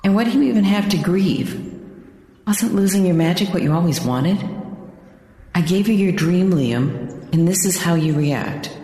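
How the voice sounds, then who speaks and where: A woman acting in an audio drama as a cold, taunting, sinister figure who speaks in measured, condescending tones. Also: fairly low pitch, mellow, close to an everyday voice. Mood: cold scorn. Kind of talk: dramatic fiction podcast acting. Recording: echoey, long cavernous reverb.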